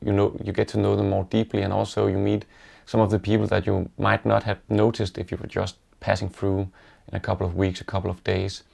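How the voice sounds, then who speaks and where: A Danish-accented man speaks in a low, gravelly voice with a heavy fry on his words. His delivery is level and unvaried, halting and reflective, like someone recalling stories in a relaxed interview. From Denmark. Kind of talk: casual reflective storytelling.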